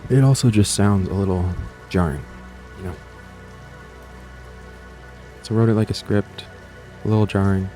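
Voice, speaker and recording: A man's voice: on the low side, measured pace, pauses often, dark tone, faint music behind. A man introducing his own audio story to viewers in a casual, rambling, offhand way, repeating himself and trailing off. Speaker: creator and host of an audiobook channel.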